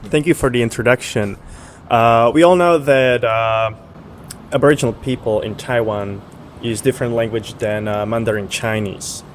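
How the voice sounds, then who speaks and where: Hesitant, mid-pitched male interviewer. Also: moderate pace, slight background noise. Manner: asks questions with many hesitations. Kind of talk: interview questions.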